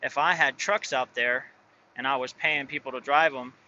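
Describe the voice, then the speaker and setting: A man's voice: medium pitch, fairly even intonation, normal speed, bright, forceful tone, lo-fi sound, a little hiss or hum. A man who drives his own truck, talking casually and rambling, full of fillers and restarts. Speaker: truck driver and owner operator. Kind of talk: casual vlog talk.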